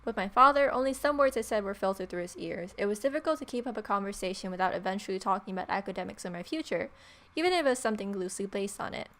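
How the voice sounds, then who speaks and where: A young adult woman hosting a let's play, reading visual novel narration aloud in an irreverent, expressive way. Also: mid-range voice, rapid-fire. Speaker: gaming video host.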